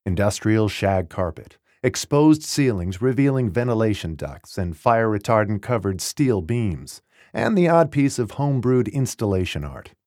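Male narrator for memoir audiobook: lowish register, very expressive intonation, wry and articulate delivery, dry close studio sound. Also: normal speed, dry recording. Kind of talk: scripted audiobook narration of a first-person memoir.

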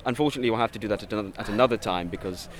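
A man acting as a posh British naval captain of noble birth, with a fairly low voice. He speaks in an affected Received Pronunciation, hesitating often but carefully enunciating his words, as a living-history character. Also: racing, a crowd in the background, close to an everyday voice. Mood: earnest, explanatory. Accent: put-on British Received Pronunciation.

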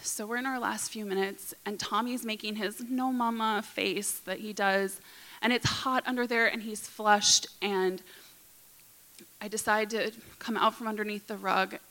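A woman in her thirties recounts a personal story aloud, earnest and vivid, slipping into hushed passages. Her voice is bright and crisp at a middling pitch. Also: fairly even intonation, unhurried, rough recording. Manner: earnest, vivid, present-tense retelling, often softening to a hush. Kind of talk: personal story told to an audience.

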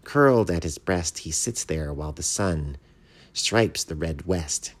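A small poet bat from a children's storybook, voiced by a man, gentle and earnest. The delivery is thoughtful and modest, with a husky edge and a very lively rise and fall. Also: deep voice, unhurried. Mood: reciting poem. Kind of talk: children's storybook read aloud.

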